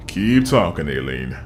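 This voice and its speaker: A man playing a world-weary police detective in an audio drama, gruff, terse and dry. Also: on the low side, noisy background, heavily acted.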